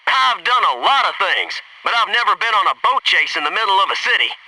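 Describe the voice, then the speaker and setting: Casting: brash American SEAL squadmate, game combat lines, joking and slangy, very animated pitch, radio-filtered, sounding off the mic. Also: male voice, a little fast, distant mic, slight background noise, exaggerated voice, rough recording. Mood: wry, cocky. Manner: cocky, joking, slangy, barks urgent callouts. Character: brash wisecracking young Navy SEAL squadmate. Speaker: video game voice actor.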